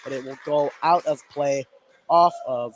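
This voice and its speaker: A man's voice: a little high, unhurried. An American man giving a running, descriptive live radio play-by-play of a basketball game. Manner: running, descriptive call of the action. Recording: loud gym noise behind live broadcast. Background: noisy indoor basketball gym.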